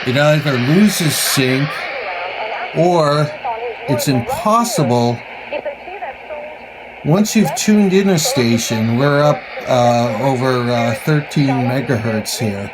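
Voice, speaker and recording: A man giving a casual hands-on gadget demonstration as a hobbyist reviewer, halting and pausing mid-phrase, with a skeptical, opinionated bent. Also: medium pitch, lively intonation, a loud crowd, poor audio.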